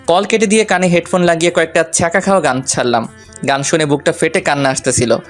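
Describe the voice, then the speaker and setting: Male narrator, slightly high voice, playful colloquial romantic storytelling over light music. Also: fast talker. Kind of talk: first-person romantic story narration with acted dialogue.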